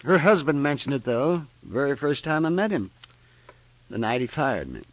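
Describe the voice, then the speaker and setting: An actor playing a grumbling, roguish town doctor in an old western radio drama, muttering and droll. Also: male voice, mid-range voice, very animated, moderate pace, a little hiss or hum, natural voice, poor audio. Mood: wry reminiscence.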